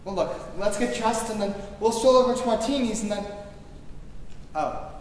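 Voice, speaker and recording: A man's voice: sits high, normal speed, close to an everyday voice. A young man on stage playing a troubled, despairing husband and father in a live radio play. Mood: bewildered. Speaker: stage actor. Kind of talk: live 1940s-style radio play acting. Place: theater stage in a large auditorium. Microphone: distant camera. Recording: echoey hall from far off, noisy.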